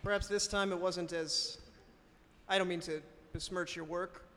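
A man's voice: high voice, fairly even intonation, normal speed, sharp tone. A man playing a prim, anxious, skeptical husband in an improvised comedy radio play, fussy, stammering and wordy. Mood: uneasy. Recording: very echoey room, clear background noise.

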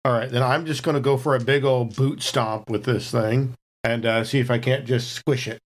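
A man talks casually as a player at a tabletop game. His voice sits at an ordinary middle pitch, and a little noise runs faintly behind it. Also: steady tone, normal speed.

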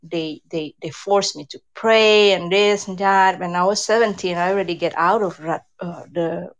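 A woman's voice: on the low side, normal speed, poor audio. A woman in her fifties from Indonesia with an Indonesian accent, chatting candidly as an interview guest, her English loose in grammar. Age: 50s. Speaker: guest, relationship advice creator. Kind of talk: casual interview chat.

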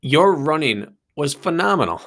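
A man's voice: fairly high pitch, sing-song, moderate pace, fairly bright tone. A man hosting a podcast, chatting easily and telling stories to his guest. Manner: easygoing, storytelling, laughs along. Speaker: podcast host. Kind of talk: casual podcast interview.

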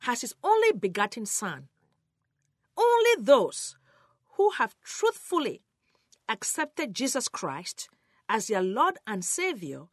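A woman's voice: on the high side, a bit bright, no room sound, clean studio sound. A woman teaching the Bible in a sermon style, emphatic and earnest, speaking directly to her listeners. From Cameroon, West Africa.

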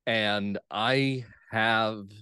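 An American man speaking as a playwright guest in an interview, analytical, measured and thoughtful. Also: lowish voice, fairly even intonation, sharp tone, smooth, no echo, clean studio sound. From United States.

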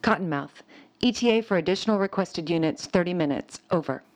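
An actress playing a flinty young army lieutenant in a radio drama, clipped and procedural over a military radio. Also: female voice, lowish voice, animated, a little fast. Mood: brisk, efficient.

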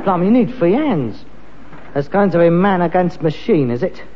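An actor playing a pretentious, defensive grown son in a vintage British sitcom, with a London accent and a blustering delivery. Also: male voice, sits high, expressive, moderate pace, long reverb tail, highs cut off, a faint crowd, slight background noise. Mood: exasperated, muttering.

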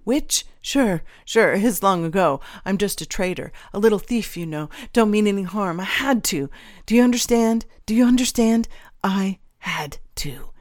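A woman's voice: on the low side, takes it slowly, hardly any pauses, no room sound, clean studio sound. A woman voicing a craven old male traitor in an audiobook, whining and pleading frantically in a weak voice. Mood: frantic self-justifying. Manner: frantic pleading with repeated phrases.

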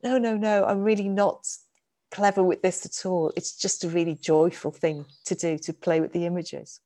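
Thoughtful woman, low voice, halting phrasing. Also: fairly even intonation, warm tone. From United Kingdom. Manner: thoughtful, frequent restarts and repetitions. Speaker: author and guest. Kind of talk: casual conversation.

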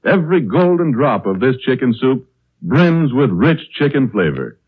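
American guy, 1930s radio pitchman, swoopy delivery, bit husky, tinny old recording. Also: sing-song, normal speed. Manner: polished, persuasive sales delivery. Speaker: radio announcer. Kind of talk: 1930s sponsor announcing, scripted.

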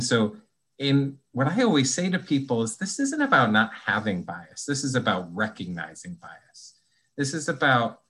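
A man's voice: medium pitch, fairly bright tone, poor audio. A man talking as a podcast guest, explaining ideas in a thoughtful, conversational way with frequent restarts. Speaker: guest, anti-bias trainer.

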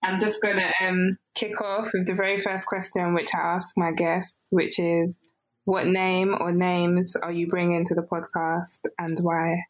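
A woman's voice: lowish voice, monotone, a little slow, low-quality recording. A woman hosting an interview podcast, asking friendly, curious questions. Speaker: podcast host.

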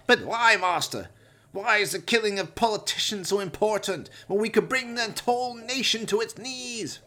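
A man's voice: high voice, normal speed, pushed and bright, pitched above the performer's own voice. A man voicing a groveling, degenerate mad scientist with pleading melodrama for a comic horror radio play. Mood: indignant.

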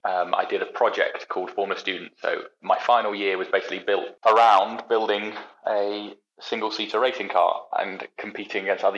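Easygoing young British man, low muffled voice. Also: a bit bright. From United Kingdom. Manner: rambling, self-deprecating, many restarts. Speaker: podcast guest, racing engineer. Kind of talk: casual chat.

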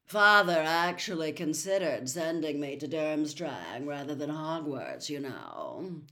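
A woman's voice: sits low, sing-song, a little slow, fairly bright tone, full character voice. A woman voicing a sneering, snobbish teenage schoolboy who drawls, in a storybook reading.

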